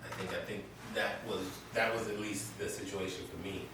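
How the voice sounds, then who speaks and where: A married man speaking slowly and sincerely in a casual group chat, reflecting on love. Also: lowish voice, fairly even intonation. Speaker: guest. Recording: echoey room with noise, mic far off.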